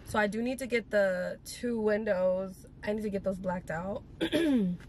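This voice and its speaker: A woman's voice: medium pitch, unhurried, a little mellow, slight background noise. A woman vlogger talking casually and informally to her viewers, with chatty asides in a girly manner.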